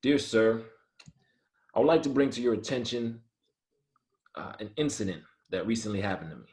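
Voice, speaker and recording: A man playing an earnest, principled young Army soldier in a screenplay table read, measured and quietly firm. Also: fairly low pitch, animated, natural voice. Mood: reflective, earnest. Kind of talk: screenplay table read, first-person narration and dialogue.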